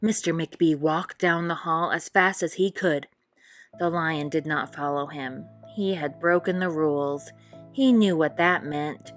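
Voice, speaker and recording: A woman with a fairly low voice reads a children's picture book aloud. Her narration is expressive, made for storytime. Faint music plays behind her. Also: a little slow. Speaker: storytime read-aloud host.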